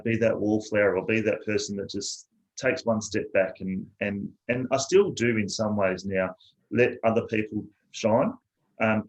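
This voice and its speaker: Soft-spoken low-voiced man from South Australia. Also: low-quality recording. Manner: soft-spoken, reflective, hesitant. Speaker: guest. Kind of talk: personal storytelling in interview.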